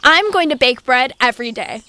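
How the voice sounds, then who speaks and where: A woman's voice: high voice, lively intonation, a little fast, sharp tone. A woman playing a sensible, put-upon young courtier in a comic stage-style audio drama, matter-of-fact and reproachful. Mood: matter-of-fact, defensive.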